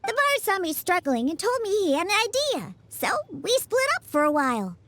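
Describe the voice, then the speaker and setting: A woman's voice: very high voice, big pitch swings, moderate pace, a bit bright, no echo, heavily acted. A woman voicing a grumpy, sassy young girl bartender in an anime game, childlike, huffy and sardonic. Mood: grumbling. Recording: music behind the voice.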